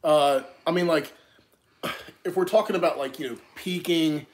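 A young man speaks earnestly in a casual chat, often repeating a word as he gets going. His voice is a touch higher than most men's. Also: normal speed. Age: young adult. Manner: earnest, repeats words when starting. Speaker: podcast co-host.

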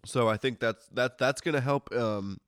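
A man's voice: mid-range voice, normal speed, fairly bright tone, clear, resonant tone, no room sound, studio quality. A man with a stuffy, congested nose, hosting a casual solo podcast in an informal, grumbling, self-interrupting way. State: congested sinuses. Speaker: podcast host.

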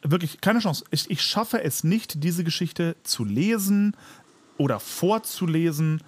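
A man's voice: fairly high pitch, lively intonation, measured pace, husky. A man co-hosting a podcast in casual chat, speaking emphatically and repeating words for emphasis. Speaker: podcast co-host.

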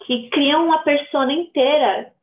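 A woman's voice: sits high, unhurried. A woman with a Brazilian accent speaking as a podcast guest in informal, conversational talk full of slang and tag questions, over a thin call-quality line. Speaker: podcast guest, social media creator. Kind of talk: casual conversational interview. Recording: thin phone-like remote line.

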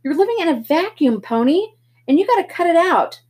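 A woman's voice: very high voice, sing-song, brisk, darkish tone, pitched above the performer's own voice. A woman reading a story aloud, voicing a worried older brother who scolds his younger brother. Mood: scolding. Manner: scolding, pleading. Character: teenage boy, older brother, stern and worried.

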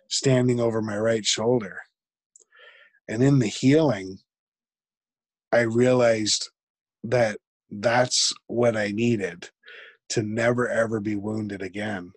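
A man, a guest in an interview, talking reflectively and haltingly, with frequent restarts. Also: fairly low pitch, fairly even intonation, husky, no room sound. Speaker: podcast guest. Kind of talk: conversational interview.

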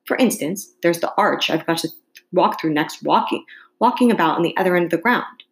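A woman's voice: on the low side, a little fast, lightly acted. A woman voicing a polite, curious young girl in a storybook, with an earnest, complaining manner. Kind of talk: storybook character reading.

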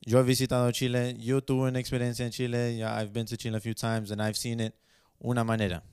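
A man's voice: mid-range voice, completely flat delivery, fairly quick, a little mellow, clean tone, no echo, clean studio sound. A young man hosting a casual bilingual interview, switching between Spanish and English mid-sentence. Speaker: host interviewing his parents.

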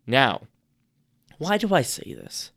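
A man giving casual advice on public speaking on a podcast, chatty and rambling, restarting his sentences often, his voice a little hoarse. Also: medium pitch, wildly swooping pitch, slow pace, fairly bright tone. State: slightly hoarse. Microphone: podcast microphone.

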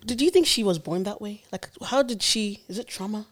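A young woman co-hosting a casual podcast, chatty and quick to agree. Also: medium pitch, a crowd in the background. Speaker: podcast co-host. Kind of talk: casual chat.

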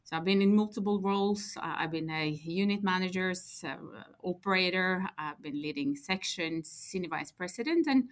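A woman's voice: fairly low pitch, normal speed, hardly any pauses. A woman in her forties with a Norwegian accent, explaining her work as an interview guest and repeating words as she thinks. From Norway. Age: 40s. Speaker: guest, head of core banking. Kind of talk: interview answers.

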